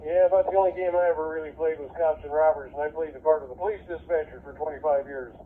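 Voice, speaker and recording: Older American male, retired, casual and rambling radio chatter, slightly high voice, thin telephone-like sound. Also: steady tone, fast. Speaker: retired police dispatcher, amateur radio operator. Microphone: two-way amateur radio. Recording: narrow, phone-like radio link with static noise.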